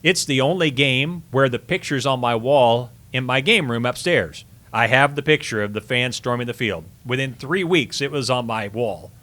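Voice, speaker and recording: A man's voice: medium pitch, animated, moderate pace, hardly any pauses, pushed and bright. A middle-aged man speaking as an interview guest, telling sports stories casually with reflective, emphatic phrasing. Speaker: sports broadcaster, podcast guest.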